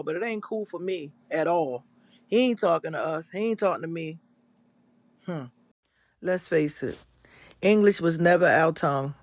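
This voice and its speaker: A man giving casual vlog commentary in a preachy way, quoting scripture with drawn-out asides. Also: on the high side, vocal fry, no room sound, clean studio sound. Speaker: vlogger.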